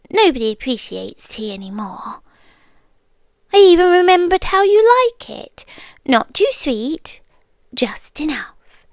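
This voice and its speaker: A British woman playing a possessive, menacing yandere girlfriend in an ASMR roleplay, soft-spoken and deliberate, often slipping into whispers, sweetly condescending. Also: high voice, no echo, exaggerated voice. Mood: wounded, coy. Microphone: close, dry microphone.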